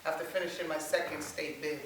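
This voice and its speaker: A woman's voice: sits low, steady tone, fairly quick. A woman in her forties from Long Island, giving a rehearsed dramatic reading of her life story. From Long Island, New York. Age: 40s. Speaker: stage reader telling a life story. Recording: very echoey room, distant mic, faint hiss.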